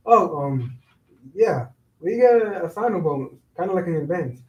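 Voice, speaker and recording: A man's voice: sits high, animated, moderate pace, dull audio. A man playing an evasive, easygoing young construction worker in a staged play reading, hesitant and casual, in an echoing room. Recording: roomy, echoing sound.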